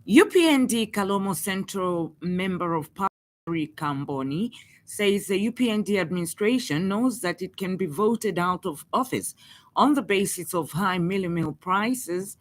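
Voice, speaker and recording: A woman with a Zambian accent reading a scripted news bulletin as a radio presenter in a formal, measured manner. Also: mid-range voice, lively intonation.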